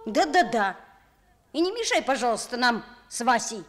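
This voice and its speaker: A woman playing a dreamy, evasive schoolboy of about ten in a Soviet radio play, expressive and pleading as they tell the story. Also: fairly high pitch, moderate pace. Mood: pleading, coaxing.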